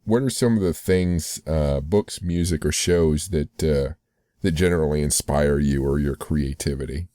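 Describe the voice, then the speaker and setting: A man's voice: a bass voice, moderate pace, dark tone. A man hosting a casual interview, asking questions and offering short responses. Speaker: interviewer, podcast host.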